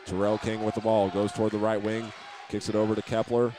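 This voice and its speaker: A man with a lowish voice does live basketball play-by-play in short, clipped phrases. His pitch stays on the flat side as he describes the action plainly. Light gym noise and the game sit behind him. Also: a little fast. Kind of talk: live radio play-by-play sports commentary. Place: indoor high school basketball game.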